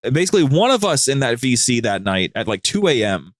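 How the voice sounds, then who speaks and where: A man's voice: medium pitch, big pitch swings, brisk, dry recording, clean studio sound. A man chatting casually as a podcast guest, telling stories in an informal, rambling, slangy way. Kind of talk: casual, anecdotal chat.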